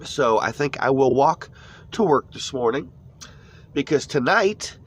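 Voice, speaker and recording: A man's voice: mid-range voice, lively intonation, a little slow, slightly rough. A laid-back American man with a stuffy nose, chatting casually on a live morning stream from his phone inside an old camper van. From United States.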